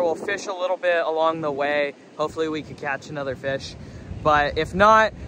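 A young man vlogging casually to camera outdoors on a coast, upbeat and chatty, talking to his viewers as guys. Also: high voice. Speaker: fishing vlogger. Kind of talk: casual vlog talk to camera. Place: outdoors on a windy coast by crashing surf. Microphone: camera mic, held at arm's length or set on the ground. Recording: outdoor recording with clear wind and surf noise. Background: wind and waves.

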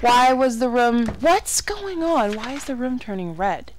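A woman's voice: a little high, big pitch swings, a little slow, background noise. A woman giving casual horror game commentary, exclaiming, swearing and muttering asides to herself. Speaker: let's play gamer.

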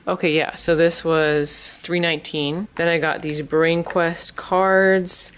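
A woman's voice: low-pitched, steady tone, slow pace, a little hiss or hum. A woman chatting casually and enthusiastically in an informal shopping haul vlog. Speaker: vlogger, parent.

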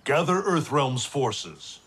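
A man's voice: medium pitch, big pitch swings, takes it slowly, a bit bright, breathy edge, heavily acted. A man playing a solemn, commanding divine protector in a cinematic video game, with grave and formal delivery. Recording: faint ambient noise behind. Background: faint ambience.

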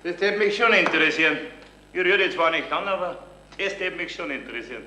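A rough Bavarian man from a radio crime drama, mocking and folksy. His high, bright voice swings widely in pitch. It sounds in a roomy space on a slightly hissy old recording. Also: a little fast. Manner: folksy, sardonic, provoking.

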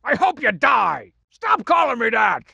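An actor playing a cocky, scheming cartoon masked wrestler in a video game, boastful and mocking, with a gravelly, raspy voice. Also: male voice, extremely high pitch, wildly swooping pitch, measured pace, pushed and bright, no echo, heavily acted. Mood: frustrated. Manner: boastful, mocking, swaggering wisecracks. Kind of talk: video game character barks.

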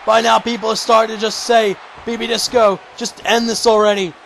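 High-pitched excited wrestling commentator, hoarse. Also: male voice, fairly quick, slight background noise, low-quality recording. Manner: shouting, high-pitched and strained, losing his voice. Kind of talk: excited play-by-play commentary of a video game wrestling match.

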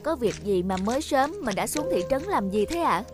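A timid young servant in a storybook cartoon, speaking politely and deferentially in a somewhat high, lively voice. Music plays clearly behind. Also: female voice, normal speed.

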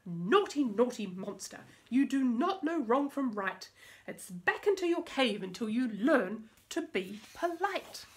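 A woman's voice: a little high, very animated, unhurried. A woman voicing a cross, bossy little fairy in a storybook, scolding firmly and emphatically. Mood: stern scolding.

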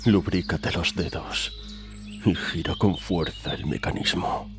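Male actor as a predatory cartoon boss, Spain accent, deep, unhurried, smarmy, insinuating. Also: fairly even intonation, music in the background. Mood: creepy coaxing. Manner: slow, insinuating, unctuous. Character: creepy, predatory gumball-machine boss, smarmy adult male. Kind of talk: adult parody cartoon fandub.

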